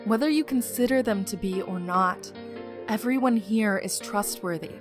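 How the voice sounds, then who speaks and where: A woman's voice: medium pitch, normal speed, over music, natural voice. A woman playing a caring, loyal young soldier in an audio drama, earnest and persuasive. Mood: earnest, concerned.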